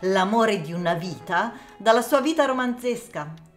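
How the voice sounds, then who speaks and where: An actress voicing a jealous, sharp-tongued wife who speaks in a tart, suspicious tone. Her voice rings in a roomy space over faint music. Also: medium pitch, animated, measured pace, lightly acted. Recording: roomy echo.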